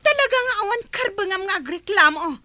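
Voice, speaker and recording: A woman's voice: very high-pitched, expressive, fast, sharp tone, dry recording, full character voice. A woman with an Ilocano accent playing a spoiled, bossy young woman who speaks in a commanding, scornful way. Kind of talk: Ilocano audio drama. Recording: music playing underneath.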